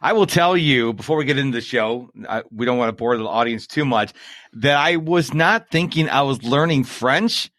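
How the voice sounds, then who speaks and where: A man's voice: mid-range voice, animated, moderate pace, fairly bright tone, no room sound. A man hosting a podcast, chatting in an upbeat, folksy way. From United States. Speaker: podcast host. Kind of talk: casual interview chat.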